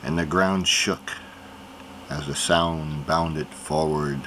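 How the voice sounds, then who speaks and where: A man reading a children's picture book aloud in an expressive storytelling manner. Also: rumbling low, takes it slowly, slight background noise. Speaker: reader.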